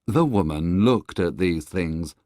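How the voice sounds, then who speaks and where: Male narrator, low pitch, scripted storybook reading, dry studio-like sound. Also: animated, slow, a little mellow, dry recording.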